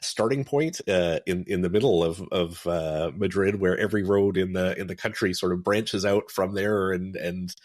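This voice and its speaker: A man's voice: sits low, moderate pace, hardly any pauses, no echo, studio quality. A middle-aged man from Alberta, a podcast guest chatting casually about travel, with many restarts and fillers. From Alberta, Canada. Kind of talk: casual interview chat.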